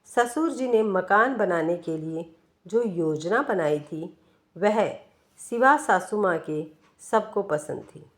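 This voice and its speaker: A woman reading a scripted first-person story in a measured, expressive way, with pauses. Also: lowish voice. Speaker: storyteller, narrator.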